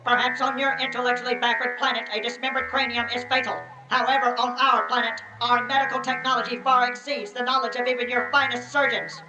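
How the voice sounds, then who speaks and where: An actress playing a stern alien commander, with formal, stilted, commanding speech in a radio sci-fi style. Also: female voice, a little high, fairly even intonation, fairly quick, few pauses, over loud music, close to an everyday voice, low-quality recording. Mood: urgent, stern. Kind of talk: old-time radio sci-fi acting.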